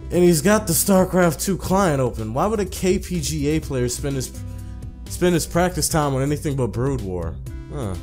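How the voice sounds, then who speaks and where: A man's voice: on the high side, expressive, normal speed, darkish tone, soft background music. A man casually commentating and reading a visual novel's text aloud as a let's player.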